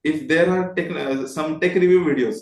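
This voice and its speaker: Indian-accented man, slightly high voice, expressive and polite explainer, roomy echoing sound. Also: moderate pace. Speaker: guest, voice modulation trainer. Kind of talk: conversational interview explanation.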